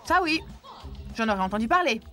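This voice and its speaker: A woman voicing a friendly, curious young creature in a French cartoon dub, with an expressive delivery. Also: a little high, a little fast, faint music behind. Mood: doubtful.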